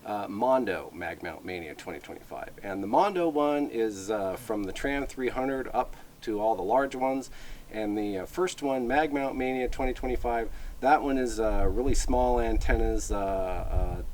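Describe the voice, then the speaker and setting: A man with a fairly low voice talks through a product in a casual, unscripted way. He explains things conversationally and haltingly, leaning on fillers, with some steady noise behind him. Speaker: product reviewer.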